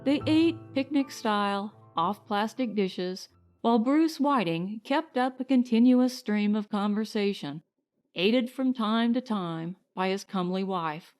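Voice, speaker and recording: Slow-paced woman reading a story. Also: mid-range voice, darkish tone, soft background music. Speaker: audiobook narrator. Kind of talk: scripted narration of a short story.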